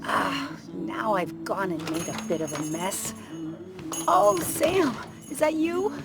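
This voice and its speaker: A coy, scheming old woman in a game. She talks slowly with a lively, swooping pitch and plays sweetly helpless, under loud music. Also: creaky. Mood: coy, wheedling. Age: older. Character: sly, theatrically doddering old small-town woman. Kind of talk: video game acting.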